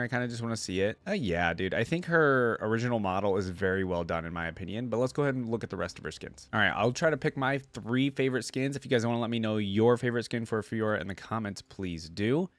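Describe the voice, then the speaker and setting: A man's voice: lowish voice, no echo. A man hosting a reaction video with casual commentary, slangy and informal, thinking aloud as he goes.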